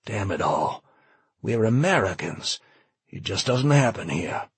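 A man's voice: very animated, unhurried, slightly rough, no room sound, full character voice. A man voicing a dismayed, disbelieving townsman in an audiobook, protesting wearily. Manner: low, weary protesting. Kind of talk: dramatized audiobook dialogue.

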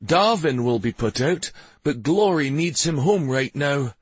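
A man puts on a genial king for a fantasy audiobook. He sounds amused and teasing, yet used to command. His pitch is raised and very mobile, over a slightly rough tone. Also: lively intonation, unhurried, no room sound.

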